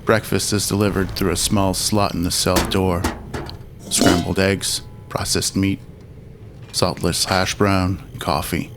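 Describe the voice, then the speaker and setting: A man's voice: deep voice, creaky, fairly bright tone, slight background noise. A man delivering scripted narration for an audio roleplay drama.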